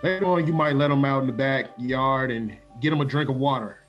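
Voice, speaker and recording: A man playing an offbeat, polite and unruffled gentleman dog owner in a comedic radio play. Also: mid-range voice, a little fast, muffled recording, soft background music, natural voice.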